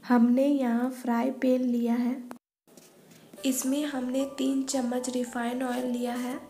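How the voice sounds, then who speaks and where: A woman calmly narrating a home cooking recipe step by step, listing each ingredient in a methodical way. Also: high voice, unhurried. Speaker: cooking channel host. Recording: echoey room voice-over with faint music.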